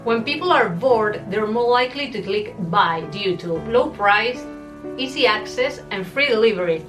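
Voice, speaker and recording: A woman's voice: on the high side, animated, moderate pace, over music. A woman vlogger, not a native English speaker, talking candidly and reflectively about her own habits in a confessional vlog. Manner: candid, reflective, self-deprecating. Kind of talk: personal confessional vlog narration.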